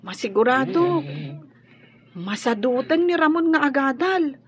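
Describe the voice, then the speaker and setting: A woman's voice: high-pitched, expressive, a little slow. An actress playing a young female student, a concerned and consoling friend, in a radio drama. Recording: dull radio drama audio.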